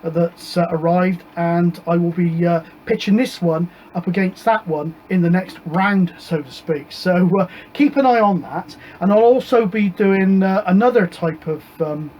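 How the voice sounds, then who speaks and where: A British man with a high-pitched voice talks through things in an informal hobbyist manner. He is hesitant and rambles as he explains, with clear noise behind him. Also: measured pace, few pauses, darkish tone, poor audio. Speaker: amateur radio hobbyist presenting antenna tests. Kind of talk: casual explanatory vlog.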